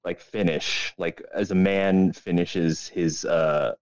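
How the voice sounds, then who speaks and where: A man's voice: low voice, fairly even intonation, slow, darkish tone, no echo, dull audio. A young man co-hosting a podcast in casual, rambling chat full of profanity and slang. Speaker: podcast co-host.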